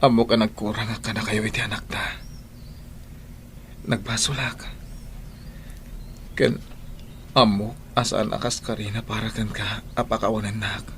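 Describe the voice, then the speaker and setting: An actor playing a remorseful young father in an Ilocano radio drama, speaking earnestly and haltingly with emotion. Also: fairly low pitch, little pitch movement, fairly bright tone, slight background noise. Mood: remorseful, pleading. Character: remorseful young father pleading for a second chance. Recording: music bed behind the voice.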